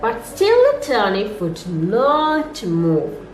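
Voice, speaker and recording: A woman reading a children's story aloud as a nursery storyteller, in an expressive, sing-song way meant for young children. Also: on the high side, drawn-out words, a little hiss or hum. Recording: roomy echo, music underneath.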